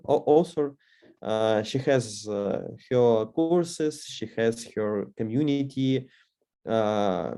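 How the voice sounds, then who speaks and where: A man's voice: medium pitch, warm tone. A young man with a Russian accent, a native Russian speaker, giving a webinar lecture as course instructor with many fillers and restarts.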